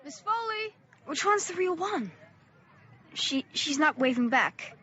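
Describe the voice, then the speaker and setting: A woman playing a bold, defiant thirteen-year-old small-town boy in a radio drama, brash and excitable. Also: high voice, measured pace, a faint crowd, heavily acted. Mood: excited. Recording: dull lo-fi audio with music.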